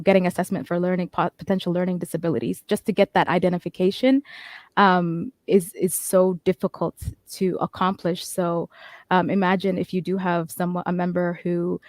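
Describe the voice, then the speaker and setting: Flat-voiced woman, dry close sound. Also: lowish voice, dry recording. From Canada. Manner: explanatory, detailed, frequent restarts. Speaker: panel guest. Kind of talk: panel discussion.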